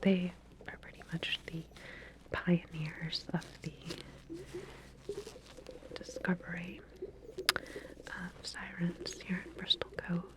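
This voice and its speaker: Slow whispering woman, ASMR medical roleplay. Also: fairly low pitch, level tone, low-quality recording. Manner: soft, hesitant, explanatory, reassuring clinical talk. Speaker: ASMR roleplayer playing a lab researcher.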